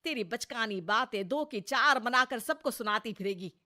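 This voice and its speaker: A woman's voice: on the high side, animated, moderate pace, bright, forceful tone. A woman voicing a scolding, sarcastic mother-in-law in her sixties for an acted moral story. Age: 60s. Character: scolding, nagging sixty-year-old Indian mother-in-law.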